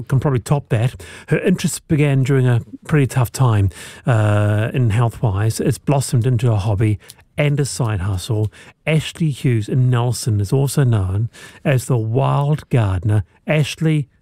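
A man's voice: mid-range voice, dark tone, no room sound, studio quality. A man hosting a radio talk show with enthusiastic, exclaiming delivery. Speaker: radio host. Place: radio studio.

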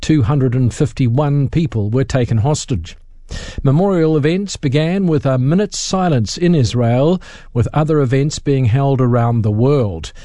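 A man reading a radio news bulletin in a crisp, formal way. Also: medium pitch, measured pace, dark tone, no echo, studio quality. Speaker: newsreader.

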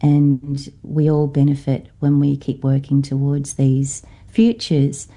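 Low, mellow Norfolk Island woman. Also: steady tone, slow. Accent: Norfolk Island, Australian-influenced. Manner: thoughtful, hesitant, many fillers. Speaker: guest, academic. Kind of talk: radio interview.

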